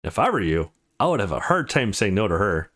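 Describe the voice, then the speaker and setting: A man's voice: lowish voice, big pitch swings, brisk, a bit bright. Man voicing a brash, teasing tomboy girl. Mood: teasing, frank. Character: brash, teasing, foul-mouthed tomboy young woman, loyal friend.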